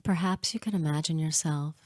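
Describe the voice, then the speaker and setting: A woman leading a guided hypnosis meditation in a soothing, hushed manner, leaving long pauses between short phrases. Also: low voice, dry recording, studio quality.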